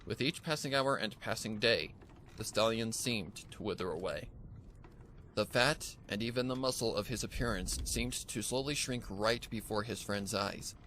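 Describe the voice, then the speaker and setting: A man narrating a dark fan fiction story from a script in a measured, somber, dramatic manner. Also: fairly low pitch, slight background noise.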